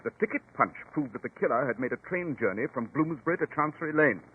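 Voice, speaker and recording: Thin 1940s radio sound, male actor as English detective, British accent, animated pitch, husky, precise, authoritative. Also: fast talker, a little hiss or hum, close to an everyday voice. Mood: assured, explaining. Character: precise, self-assured English male detective, shrewd and courteous. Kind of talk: 1940s radio drama acting. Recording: muffled, tinny 1940s radio recording.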